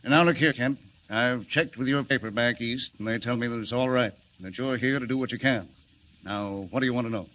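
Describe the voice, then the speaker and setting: An actor playing an irritable, harried railroad superintendent with a blustering, abrupt manner, in 1940s radio serial style. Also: male voice, mid-range voice, brisk, fairly bright tone, lightly acted. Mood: anxious, exasperated. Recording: muffled, noisy vintage 1940s radio recording.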